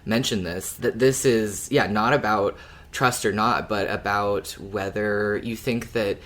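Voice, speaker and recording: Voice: mid-range voice, steady tone, unhurried, a bit bright. A podcast co-host chatting casually and analytically about a story. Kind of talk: casual fan discussion of an audio drama.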